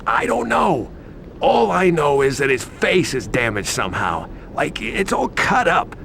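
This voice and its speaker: Uneasy street informant: mid-pitched, lively swings, gravelly fry, video game scene with light music. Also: male voice, unhurried, slight background noise, exaggerated voice. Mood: anxious. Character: nervous, fast-reacting male informant, streetwise and worried. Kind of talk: video game cutscene acting.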